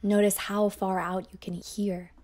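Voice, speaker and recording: A woman teacher guiding a yoga nidra meditation in a slow, soft voice that often drops toward a whisper. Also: medium pitch, flat delivery. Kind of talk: guided meditation.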